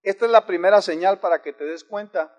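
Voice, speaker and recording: A man's voice: sits high, brisk, lo-fi sound. A man preaching a sermon in an earnest, exhorting manner, often restarting his phrases. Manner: earnest, exhorting, with frequent restarts and varied emphasis.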